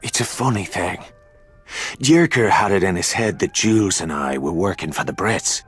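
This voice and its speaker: A male actor playing a tough, sardonic, vengeful Irish mechanic in a video game, with an Irish accent and a gruff, defiant manner. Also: low-pitched, animated, moderate pace. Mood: wry, guarded. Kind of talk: dramatic video game acting. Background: music.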